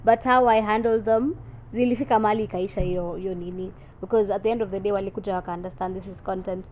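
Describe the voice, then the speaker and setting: A woman's voice: medium pitch, fairly even intonation, fast talker. Confident young woman. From South Sudan. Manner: confident, chatty, code-switching. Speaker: podcast guest, content creator. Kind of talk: casual interview chat, mixing English and Swahili. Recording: muffled podcast audio with faint background noise.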